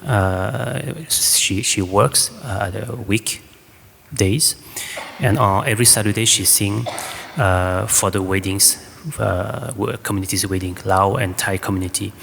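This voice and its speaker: A man with a French accent speaking halting, hesitant English as a guest choreographer at an informal public talk. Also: lowish voice, fairly even intonation, gravelly, fairly bright tone. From France. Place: indoor public hall with audience. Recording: echoey hall, faint background noise.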